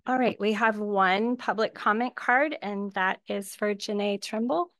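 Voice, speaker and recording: A woman, a school superintendent, giving brisk, friendly instructions to a speaker at a board meeting. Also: mid-range voice, fairly bright tone, dry recording. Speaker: superintendent keeping time.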